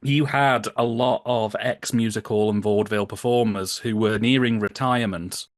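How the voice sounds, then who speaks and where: A man's voice: lowish voice, fairly even intonation, moderate pace, no room sound, studio quality. A British man speaking as a podcast guest, explaining things in an enthusiastic, chatty way with colourful colloquial phrasing. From United Kingdom. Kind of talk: enthusiastic explanatory casual chat.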